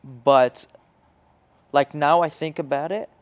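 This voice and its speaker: A young man from Syria whose first language is Arabic, co-hosting a casual podcast chat in a halting way, full of "like" and restarts. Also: fairly high pitch, steady tone. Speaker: podcast co-host.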